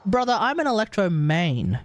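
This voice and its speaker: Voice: mid-range voice, big pitch swings, moderate pace. A streamer with an Australian accent giving casual, rambling, jokey live commentary on a game. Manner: rambling, jokey, easily amused.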